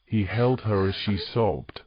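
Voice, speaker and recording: A man's voice: on the low side, slow pace, lo-fi sound. A man reading scripted fantasy romance narration as a storyteller.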